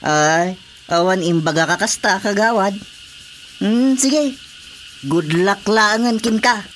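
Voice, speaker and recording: A man's voice: high-pitched, takes it slowly, a bit bright, slight background noise. A man acting a deferential, nosy village watchman in an Ilocano radio comedy drama, with an Ilocano Filipino accent. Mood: probing, surprised.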